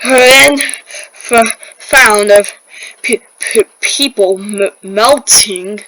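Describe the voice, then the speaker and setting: A woman playing a distressed weather broadcaster in an amateur disaster drama, stammering and halting as she struggles through deadly heat. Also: medium pitch, a bit bright, no echo, rough recording. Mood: uneasy, queasy.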